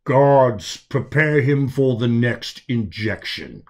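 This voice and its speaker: A man voicing a calm, commanding male officer in an audiobook, measured and reassuring as he speaks over the comms. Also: mid-range voice. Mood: firm, commanding. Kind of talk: dramatic audiobook character voice.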